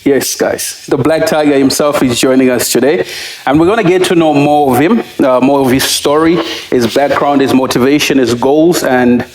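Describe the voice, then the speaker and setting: A man's voice: mid-range voice, moderate pace, darkish tone. A man hosting a casual interview, upbeat and welcoming, often saying "guys" and "exactly". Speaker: host. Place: distillery room. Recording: echoey room.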